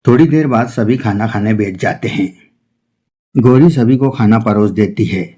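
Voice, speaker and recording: A man's voice: on the low side, moderate pace, mellow, breathy edge. A man narrating a scripted children's-style cartoon story as its storyteller.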